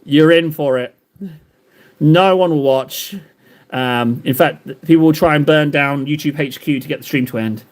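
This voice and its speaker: A man with a fairly high voice chats casually on a live stream as he paints. He rambles, cracks self-deprecating jokes and answers his viewers in a loose, playful way. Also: moderate pace. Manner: rambling, jokey, banters with chat.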